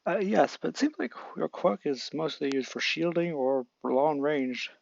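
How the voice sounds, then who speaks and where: A man voicing a calm adult male doctor who explains things matter-of-factly. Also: fairly high pitch, normal speed, creaky, muffled recording. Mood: explaining.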